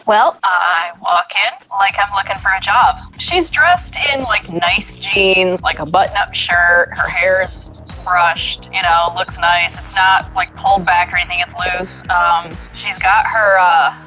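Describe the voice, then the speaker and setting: A woman's voice: lowish voice, measured pace, pushed and bright, faint music behind, low-quality recording. Woman player casually describing her character. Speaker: player in a tabletop game.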